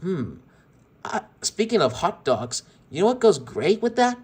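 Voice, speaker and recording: A man voicing a cruel boy who gloats slowly and sneers at his victims. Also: high voice, very animated, a little mellow, slightly rough, pitched above the performer's own voice, exaggerated voice. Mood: gloating. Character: cruel mocking boy who torments toys.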